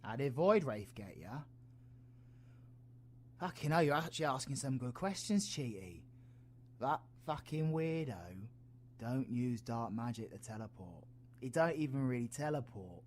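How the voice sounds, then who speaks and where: A British man playing a roguish, protective, gruff yet tender supervillain in an audio roleplay, husky and colloquial. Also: medium pitch, animated, unhurried, slight background noise, lightly acted. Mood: irritated, sardonic. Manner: sweary, teasing, colloquial. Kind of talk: audio roleplay, villain ASMR.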